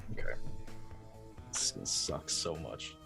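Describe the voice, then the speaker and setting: A man's voice: measured pace, bright, forceful tone, soft background music. A man co-hosting a livestream in informal banter with a dramatic, complaining manner. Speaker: livestream co-host.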